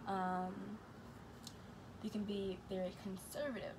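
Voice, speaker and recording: Fast-talking young woman with a stutter. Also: medium pitch, level tone. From Chicago. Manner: fast-talking with a stutter, reads questions aloud then answers. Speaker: vlogger. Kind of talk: casual get-to-know-me Q&A. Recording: noisy room ambience.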